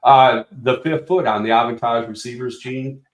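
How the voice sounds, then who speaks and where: A man's voice: mid-range voice, moderate pace, reverberant. A man representing a product company, explaining speaker design in a casual technical walkthrough with many fillers and restarts.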